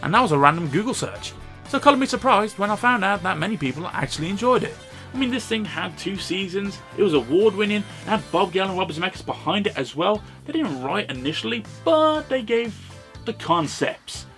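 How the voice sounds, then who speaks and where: A British man with a fairly high voice presents a review in an animated, swooping delivery. He is sardonic and opinionated, happy to swear, with music playing under him. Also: fairly quick. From Britain. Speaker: host and reviewer. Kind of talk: informal scripted video review commentary.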